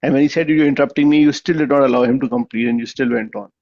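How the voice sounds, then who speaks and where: A man's voice: mid-range voice, fairly even intonation, racing, darkish tone, highs cut off. A man giving conversational spoken feedback at a club meeting over a video call, with many restarts and fillers. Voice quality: gravelly. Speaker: meeting evaluator.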